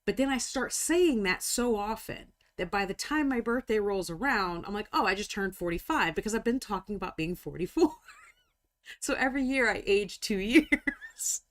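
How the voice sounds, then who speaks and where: A woman in her forties chatting casually while painting miniatures on a live stream, talkative, joking about herself and quick to apologize. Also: medium pitch, animated. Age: 40s.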